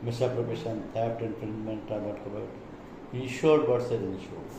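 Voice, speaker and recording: A man's voice: lowish voice, fairly even intonation, moderate pace. A man with an Indian accent explaining an insurance product as a trainer, in a didactic way, reading out points and then explaining them. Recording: echoey room with clear background noise.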